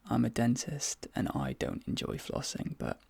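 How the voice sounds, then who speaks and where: A man speaking softly and breathily in an ASMR dentist role play, hesitant and often slipping into whispers. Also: medium pitch, big pitch swings. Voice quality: breathy, soft. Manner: hesitant, halting, drifting into whispers.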